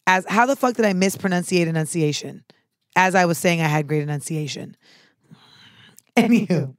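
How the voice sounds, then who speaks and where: Clipped, sharp-spoken woman, dry sound. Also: on the low side, lively intonation, normal speed, no room sound. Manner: sharp, clipped delivery, swears casually. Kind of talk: casual monologue to camera.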